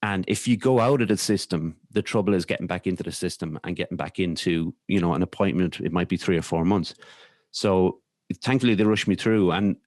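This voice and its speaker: Irish guy on a podcast, low voice, kinda halting and reflective, real dry close sound. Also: darkish tone, no room sound. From Ireland. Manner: halting, reflective, repeats words and trails off. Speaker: podcast guest. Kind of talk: personal storytelling in an interview.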